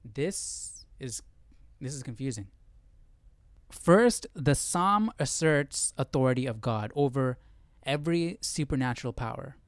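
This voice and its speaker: A man's voice: mid-range voice, lively intonation, measured pace, dry recording, clean studio sound. A man hosting a live Bible study, reading aloud and thinking through it in casual talk.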